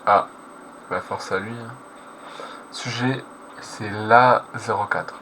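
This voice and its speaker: A man comments casually in a fairly low voice. He speaks in slang, hesitating and rephrasing as he reacts. There is clear noise behind him. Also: fairly even intonation, takes it slowly. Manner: slangy, hesitant, self-correcting. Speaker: reaction video host. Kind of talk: casual live reaction commentary, translating on the fly. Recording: clearly noisy background.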